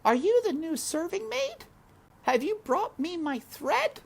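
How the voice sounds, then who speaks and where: A woman's voice: very high voice, big pitch swings, a little slow, creaky, voice pushed up, heavily acted. A woman voicing a young storybook princess, gracious, kindly and polite in speech. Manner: polite, well-spoken.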